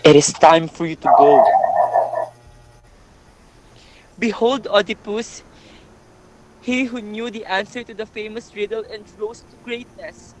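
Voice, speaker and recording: A man's voice: high voice, steady tone, a little slow, fairly bright tone, slight background noise, rough recording. A man playing a grave messenger of doom in a readers' theatre Greek tragedy, with dramatic, emphatic delivery. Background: music.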